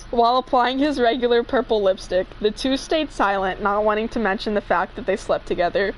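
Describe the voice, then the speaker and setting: A woman's voice: on the high side, lively intonation, moderate pace, slight background noise, poor audio. A young woman casually reading fanfiction aloud with joking banter, giggly and free with swearing. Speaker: fanfiction reader.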